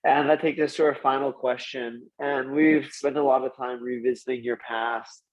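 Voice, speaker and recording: A male podcast host asking a guest closing questions and leading him through a slow, soothing visualization. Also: mid-range voice, level tone, lo-fi sound.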